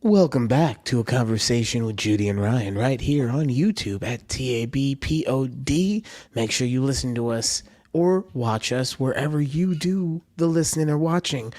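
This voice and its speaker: A man's voice: medium pitch, normal speed, hardly any pauses, a little mellow. A man co-hosting a casual podcast, chatting in a rambling way and acting out the people he quotes. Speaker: podcast co-host.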